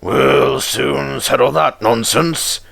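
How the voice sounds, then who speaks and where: Stern storybook railway boss played by a man: slow, gravelly, wildly swooping, commanding. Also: medium pitch, sing-song, a bit bright, dry recording, heavily acted. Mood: grim, suspicious. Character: stern, imperious male railway controller, exasperated boss.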